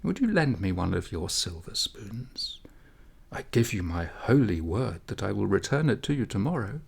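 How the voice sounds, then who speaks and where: A man's voice: on the low side, big pitch swings, normal speed, vocal fry, husky, full character voice. A man voicing a wily, impoverished village father in a storybook tale, earnest and persuasive with a sly solemnity. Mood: earnest pleading. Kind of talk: storybook character voice.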